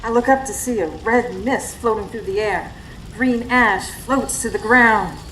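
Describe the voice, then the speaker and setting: A woman's voice: on the high side, measured pace, pushed and bright, rough recording. An actress playing a devoted, tough young woman who narrates a radio drama. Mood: reflective. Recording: live stage recording, faint background noise.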